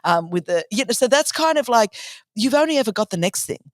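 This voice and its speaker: Animated Australian woman with dry sound. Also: a little high, brisk, no echo. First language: Greek. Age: middle-aged. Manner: animated and emphatic, acts out quoted voices. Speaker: podcast host. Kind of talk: conversational interview storytelling.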